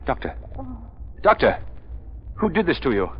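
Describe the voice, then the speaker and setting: An actor playing an earnest, determined crime-fighting gentleman in intense, dramatic 1930s radio style. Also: on the high side, sing-song, unhurried. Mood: alarmed. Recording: muffled, noisy 1930s radio recording.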